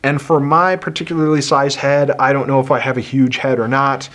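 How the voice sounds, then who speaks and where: A man's voice: medium pitch, level tone, fairly quick, fairly bright tone. A man speaking casually to camera as a review host, explaining things in an easygoing way. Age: adult. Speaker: video host reviewing a toy helmet.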